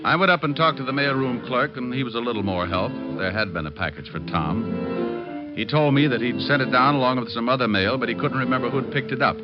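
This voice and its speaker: An actor playing a brash, wisecracking private detective with an American accent, breezy and flippant in 1940s radio style. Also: male voice, mid-range voice, animated, a little fast, natural voice. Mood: cool narration. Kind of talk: 1940s radio acting, hard-boiled first-person narration. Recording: dull old radio recording with music.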